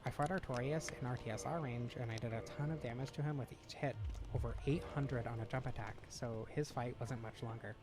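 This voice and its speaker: A man's voice: medium pitch, brisk, music in the background. A man giving casual gameplay commentary, his voice a little scratchy from weeks of not talking. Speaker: gaming channel host. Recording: home room with fan noise behind. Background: fan noise.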